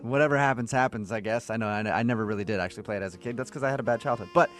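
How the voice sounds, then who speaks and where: A man's voice: mid-range voice, very fast, fairly bright tone. A man hosting a radio morning show, bantering and recapping with a wry, teasing manner. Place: radio studio. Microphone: close studio microphone.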